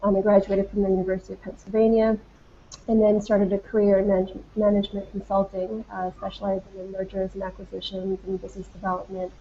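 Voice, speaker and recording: A woman's voice: mid-range voice, completely flat delivery, fast, mellow. A woman from China introducing herself as a fellow on a video call, fluent and businesslike. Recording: lo-fi call audio with faint background hum.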